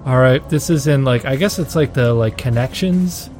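A man's voice: medium pitch, normal speed, darkish tone, faint music behind. A young adult man co-hosting a casual podcast, telling a story in a rambling way and laughing as he goes. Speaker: podcast co-host. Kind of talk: casual banter.

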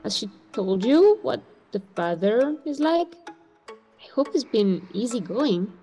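Earnest fretful young woman, eager questions, kinda high soft-edged voice, bit of music behind. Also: sing-song, takes it slowly, full character voice. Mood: hopeful. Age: young adult. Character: earnest, optimistic, fretful young hospital worker. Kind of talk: naturalistic audio drama acting.

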